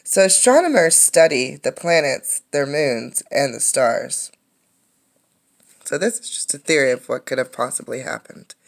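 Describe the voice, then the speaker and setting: A woman with a low-set, noticeably creaky voice reads a children's science book aloud. She sounds like a teacher, explaining as she goes and pausing to comment or pose questions. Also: animated.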